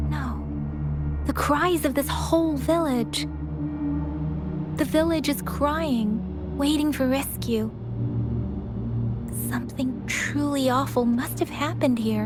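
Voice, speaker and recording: Gentle young female game scout: high voice, unhurried, earnest, perceptive, music underneath. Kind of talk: video game voice acting. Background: background music.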